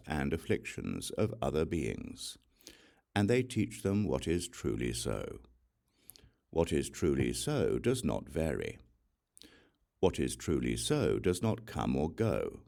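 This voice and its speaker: A man reading scripture as audiobook narration, solemn, measured and deliberate in his delivery. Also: extremely low pitch, gravelly, darkish tone. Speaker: audiobook reader.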